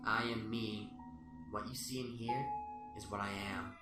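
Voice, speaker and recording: Guy acting as smug serial killer in a radio play, preachy n taunting, roomy far-off mic, loud music under it. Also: on the low side, steady tone, a little slow, natural voice. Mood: smug, boastful.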